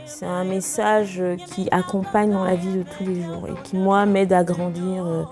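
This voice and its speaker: A young woman from Togo, a singer, answering interview questions calmly and thoughtfully, with many hesitations. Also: fairly low pitch, measured pace, music in the background.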